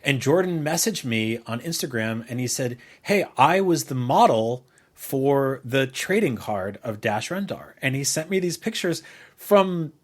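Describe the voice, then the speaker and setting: A man's voice: mid-range voice, lively intonation. Casual male podcast co-host, middle-aged, mid-range voice, loose and meandering delivery. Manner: rambling, halting, full of colorful comparisons. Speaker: podcast co-host, video host and writer. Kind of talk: casual conversational podcast chat.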